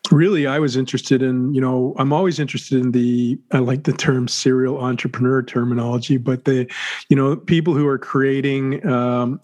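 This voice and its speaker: A man hosting a life story podcast, asking questions in a halting way, searching for words with many ums and restarts. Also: mid-range voice, a little mellow. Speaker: podcast host. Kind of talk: casual podcast interview.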